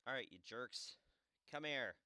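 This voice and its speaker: A man's voice: medium pitch, animated, unhurried, sharp tone, clean tone, no echo, clean studio sound. A man doing casual, playful let's play commentary, joking wryly as he plays a game. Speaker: gameplay commentator playing the game.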